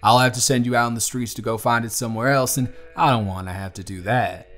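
Male actor as young bartender, Southern US accent, medium pitch, folksy, teasing, earnest, affectionate, romantic audio drama, faint music. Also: fairly even intonation, fast, fairly bright tone, close to an everyday voice. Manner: folksy, playful, affectionate drawl. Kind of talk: romantic audio roleplay, speaking to the listener.

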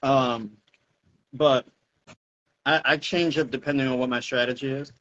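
A man's voice: mid-range voice, steady tone, a little slow, a bit bright, slight background noise. A middle-aged American man, a fitness coach, explaining things informally in a casual video-call Q&A. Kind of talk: casual Q&A chat. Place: indoor room at home, video call. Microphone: wired earphones on a video call. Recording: dull, muffled video-call audio.

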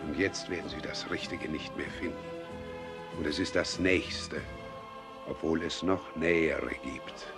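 An actor playing an eccentric, cryptic hunchbacked scholar in a radio play, speaking with dry deliberation. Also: male voice, rumbling low, unhurried, music in the background. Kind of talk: literary radio play acting.

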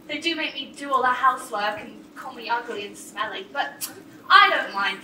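A woman's voice: sits high, sharp tone, far from the mic, full character voice. A woman playing a sweet, put-upon young pantomime heroine, speaking earnestly to an audience of children from the stage. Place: on stage in a theatre. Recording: echoey theatre from afar, audience noise.

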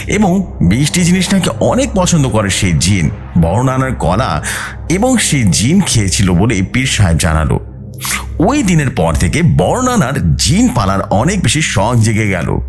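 A man's voice: mid-range voice, wildly swooping pitch, unhurried, a little hiss or hum. A man hosting and narrating horror stories in a dramatic, suspenseful way, drawing out key words for emphasis. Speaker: host of a horror story channel. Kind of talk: dramatic scripted horror storytelling.

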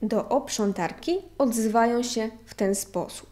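A woman narrator giving a measured, scripted reading of an old ethnographic text. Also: a little high, dark tone.

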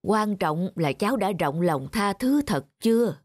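An actor playing a kindly, wise old man who gives gentle, reflective advice in an animated drama. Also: high-pitched, measured pace, dry recording, studio quality. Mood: reflective, advising. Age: older.

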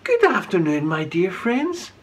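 Man playing a polite, high-voiced little Viking boy. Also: huge pitch swings, unhurried, husky, exaggerated voice. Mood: polite, cheery. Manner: courteous, cheerful. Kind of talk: children's storybook read-aloud.

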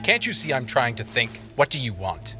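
An actor playing an insecure, controlling retreat leader, self-pitying and suspicious, in a game drama. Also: male voice, mid-range voice, huge pitch swings, moderate pace, sharp tone. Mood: irritated. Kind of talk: naturalistic video game drama acting. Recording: music behind the voice. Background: music.

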